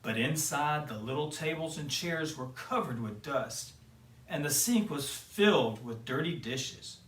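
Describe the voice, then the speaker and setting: A man reading a children's picture book aloud in a gentle storytelling way. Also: on the high side, expressive. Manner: slow, gentle read-aloud. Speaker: storyteller for kids. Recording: roomy, mic at a distance.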